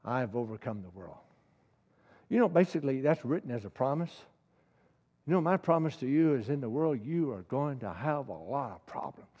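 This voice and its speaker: A man's voice: mid-range voice, big pitch swings, moderate pace, a little mellow, in a large hall, lo-fi sound. A middle-aged man preaching a sermon with passion, repeating key lines and building to emphatic peaks.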